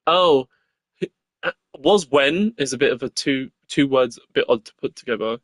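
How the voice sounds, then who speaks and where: A man's voice: mid-range voice, normal speed, dry recording. A man chatting casually on a live game stream as he reads dialogue aloud. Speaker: streamer reading all the game characters. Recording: game music behind the voice.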